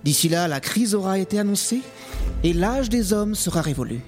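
A man's voice: high voice, lively intonation, moderate pace, a little mellow, soft background music. A man co-hosting a comic podcast, narrating its intro theatrically. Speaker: podcast co-host.

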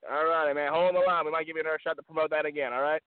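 Brief: excitable male call-in host, quick, stumbles over his words, tinny dry phone-like sound. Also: fairly high pitch, dry recording. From Norfolk, Virginia. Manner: repeats words, excitable, laughs often. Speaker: radio show host. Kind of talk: casual radio chat.

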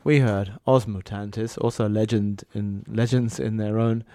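A man's voice: lowish voice, steady tone, a little slow, mellow, no echo. A man hosting a radio music show, chatting casually between songs with dry jokes and many fillers. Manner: dry joking asides, hesitant fillers. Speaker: radio host.

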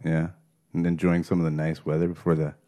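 Dude, very low voice, easygoing, chatting on a podcast. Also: male voice, monotone, fast talker, warm tone. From Canada. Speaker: podcast co-host. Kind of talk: casual chat.

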